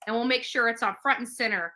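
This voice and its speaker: Very sharp, bright-voiced woman host. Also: on the high side, quick pace. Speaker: online show host.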